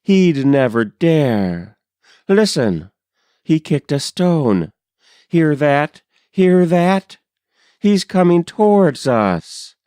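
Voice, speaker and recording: A man acts out a frightened but defiant country woman in a recited poem. The delivery is urgent and pleading, clean and smooth, with slow, deliberate pacing and a very dry, close sound. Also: on the high side, sing-song, a little mellow, no room sound, voice pushed up, heavily acted. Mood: urgent, fearful. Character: frightened, headstrong rural wife, urgent and defiant. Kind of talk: dramatic poetry reading.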